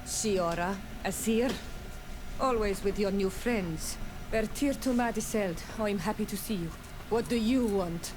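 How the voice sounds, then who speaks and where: A proud young warrior leader of an island clan, acted by a woman in a fantasy game. She talks slowly and gravely at a middle pitch, weighing each phrase. Noisy ambience runs behind her. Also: close to an everyday voice. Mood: grave, weary. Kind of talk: scripted video game dialogue acting.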